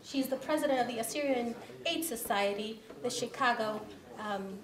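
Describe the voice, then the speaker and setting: A woman's voice: fairly high pitch, moderate pace. A middle-aged woman giving an award speech at a podium microphone, reading from notes and switching between languages. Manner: reads from notes, switches between English and Assyrian. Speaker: award presenter. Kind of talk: ceremony speech. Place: large banquet hall. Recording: echoey banquet hall with crowd.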